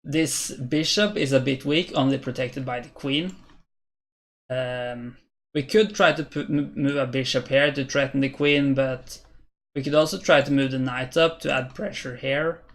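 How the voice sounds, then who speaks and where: A man's voice: medium pitch, steady tone, a little slow. A young man in his twenties from Norway, with a Norwegian accent, chatting hesitantly and thinking aloud as he streams chess and answers viewers. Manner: hesitant, thinking aloud while reading chat. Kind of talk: casual live-stream commentary.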